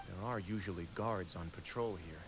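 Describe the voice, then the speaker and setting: Stern game authority figure, low voice, formal, measured, philosophical, composed. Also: male voice, noisy background. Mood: calm, alert. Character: composed, stern gentleman in authority over prison guards. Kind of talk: video game voice acting.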